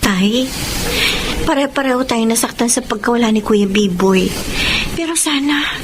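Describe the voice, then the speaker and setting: Actress as high-voiced pleading Filipino daughter. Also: female voice, moderate pace, music in the background, close to an everyday voice. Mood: sad pleading. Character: grown daughter pleading gently with grieving father. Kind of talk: Filipino radio drama acting. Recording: noisy ambience behind the voice.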